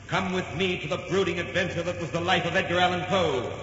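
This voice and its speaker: An actor playing the spirit of adventure as a grand, portentous announcer, declaiming a 1940s radio show introduction. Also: male voice, high-pitched, fairly even intonation, fast, a bit bright, a little hiss or hum. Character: Adventure personified, a grand, dramatic male spirit. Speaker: announcer-narrator. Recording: muffled, echoing old radio recording.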